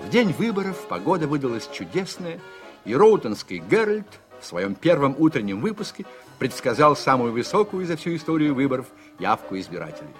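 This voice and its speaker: A man narrating a political radio drama in a measured, literary storytelling manner, as an actor. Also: mid-range voice, sing-song, over music, lightly acted.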